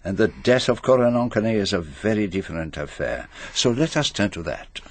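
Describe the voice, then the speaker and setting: An actor playing a courteous, shrewd Belgian detective with a French-speaking accent, questioning formally and deliberately in a radio mystery drama. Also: male voice, fairly low pitch, very animated, dry recording. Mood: shrewd, emphatic.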